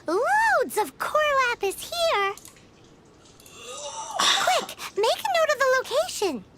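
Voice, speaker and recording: A woman voicing a tiny, excitable, childlike fairy companion with a squeaky, chirpy voice in an anime game. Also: takes it slowly, heavy background noise, full character voice. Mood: excited, chirpy. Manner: chirpy, refers to self in third person.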